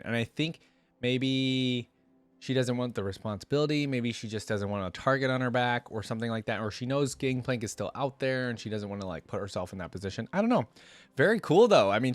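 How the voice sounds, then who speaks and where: A man's voice: mid-range voice, no room sound. A man hosting a reaction video, reading a story aloud and breaking off for casual, slangy commentary.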